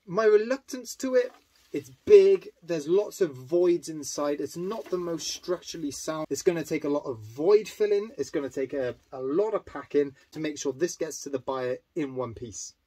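A man's voice: on the high side, animated, moderate pace, warm tone. A man in his thirties talking casually to camera about items he has resold, upbeat and chatty, in a room lined with shelves. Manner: chatty and upbeat, walking through sales. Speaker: eBay reseller vlogger. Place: indoor storage room lined with toy shelves.

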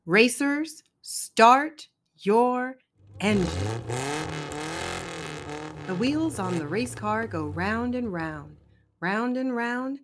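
Female picture-book narrator, unhurried and sing-song, mid-pitched, over car noise. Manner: rhythmic, expressive delivery with drawn-out sound words. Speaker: children's storybook reader.

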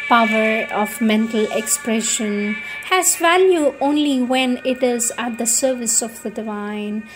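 A woman reads a devotional script in a fairly high voice. Her delivery is slow and deliberate, with pauses between phrases. Music plays prominently behind her. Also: low-quality recording. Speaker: reader. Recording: loud music under the voice.

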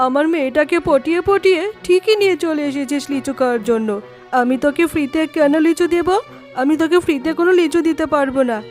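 A woman's voice: high voice, quick pace, darkish tone, soft background music, close to an everyday voice. A woman voicing a stingy, scornful tigress mother in a children's cartoon, talking in a brusque, scolding way. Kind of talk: children's cartoon story dialogue.

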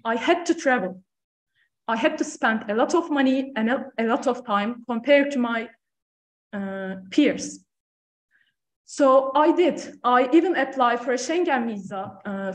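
A woman, her voice sitting a little high. She talks in a measured, earnest way, leaning on "so" and "uh" between points. The sound carries the echo of a lecture room. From Turkey. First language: Turkish. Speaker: panel speaker. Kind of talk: prepared talk at a panel event. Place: lecture room on stage, seated panel.

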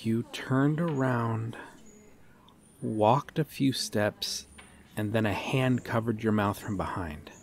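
A man playing an earnest, guarded police detective in an ASMR audio drama, speaking haltingly with long pauses. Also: lowish voice, creaky. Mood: grave, methodical. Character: earnest, guarded male police detective questioning someone he cares for. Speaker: voice actor in an audio roleplay. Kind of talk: ASMR roleplay drama, acted one-sided dialogue.

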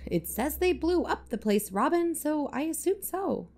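A pragmatic woman investigator played by an actress in a fiction podcast. Her voice is fairly high and swings a lot in pitch, yet she is blunt and matter-of-fact. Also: big pitch swings, a little slow, a little mellow, heavily acted. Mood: bitter. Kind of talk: fiction podcast audio drama acting.

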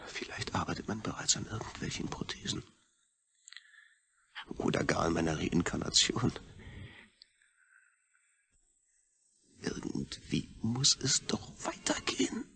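An actor playing an anguished astronaut reduced to a brain, brooding in an intense, gravelly, often near-whispered radio drama monologue. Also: male voice, sits low, sing-song, moderate pace, sharp tone, heavily acted. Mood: desperate hope. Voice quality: husky, gravelly.

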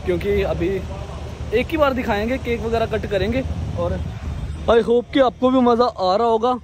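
A young man chats excitedly to his viewers while filming himself with a handheld action camera. His voice sits very high and light. Clear street and traffic noise run behind him the whole time. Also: normal speed. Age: young adult. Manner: excited, addresses viewers as guys and brother. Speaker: motovlogger. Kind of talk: casual vlog chat. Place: outdoors by a roadside and a showroom lot. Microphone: action camera held at arm's length.

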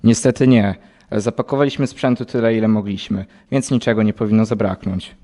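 A man's voice: fairly low pitch, fairly even intonation, a little fast, warm tone, lightly acted. A man acting a dutiful, clipped army lieutenant. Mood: dutiful, brisk. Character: dutiful, brave male army lieutenant under fire. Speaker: amateur actor in a school audio drama. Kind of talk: scripted war radio drama dialogue.

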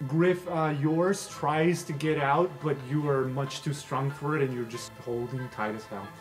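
A man's voice: a little high, steady tone, a little slow, low-quality recording. Man narrating a tabletop game over music. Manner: casual, sweary, vivid description. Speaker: game master. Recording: music behind the voice. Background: background music.

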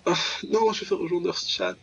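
Husky young Frenchman doing game commentary. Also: sits high, very animated, a little fast, fairly bright tone, dull audio, soft background music. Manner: exclamatory, mock-dramatic reactions with slangy asides. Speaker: gaming video host. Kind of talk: casual let's play commentary on a visual novel.

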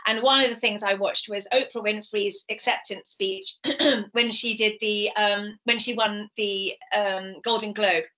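A middle-aged British woman explaining things in an interview over a video call, speaking in an animated, emphatic, passionate way. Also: medium pitch, unhurried. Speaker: composer and singer being interviewed. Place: indoors, home room. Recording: slightly dull video call audio.

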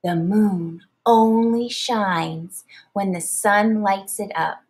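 A woman's voice: mid-range voice, takes it slowly, a little mellow, close to an everyday voice. Woman voicing a reassuring grandmother firefly.

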